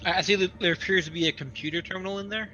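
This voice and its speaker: Fast-talking man with a higher, bright voice. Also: steady tone, muffled recording, a little hiss or hum. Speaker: player in a tabletop game. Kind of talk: casual game chat.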